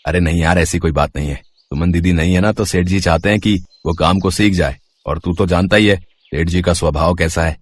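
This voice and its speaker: A man's voice: low voice, brisk, pitched below the performer's own voice. Man voicing a mild, easygoing shop assistant. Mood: dismissive. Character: fair-minded, easygoing young male shop assistant.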